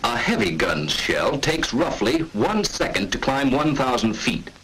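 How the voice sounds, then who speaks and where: A man's voice: medium pitch, big pitch swings, measured pace, background noise. An American man narrating a 1940s military training film in an authoritative, clipped, instructional manner, on an old film soundtrack.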